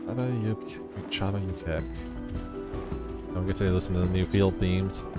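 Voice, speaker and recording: A man's voice: deep voice, flat delivery, normal speed. A man giving casual, offhand commentary as a game streamer, talking half to himself. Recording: music behind the voice.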